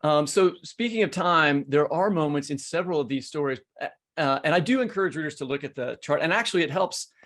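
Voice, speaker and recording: A man's voice: on the high side, lively intonation, fairly quick, fairly bright tone. A man co-hosting a literary podcast, asking questions and commenting in a conversational way. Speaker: podcast co-host.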